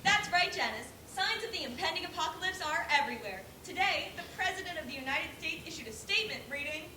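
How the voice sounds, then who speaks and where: Young woman as a high-voiced, scornful news co-anchor. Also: expressive, quick pace. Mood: brisk, smug. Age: teenager to young adult. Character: brisk, scornful female news co-anchor and doomsday presenter. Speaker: student actor in a school play. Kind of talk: comic stage acting. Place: school stage before an audience. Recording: distant echoey stage recording, noisy.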